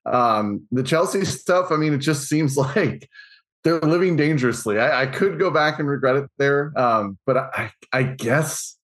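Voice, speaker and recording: A man's voice: mid-range voice, fairly bright tone. Guy on a sports podcast, lots of restarts and little laughs, makes fun of himself. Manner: halting, many restarts, laughs while talking; self-mocking. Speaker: podcast co-host. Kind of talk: casual sports chat.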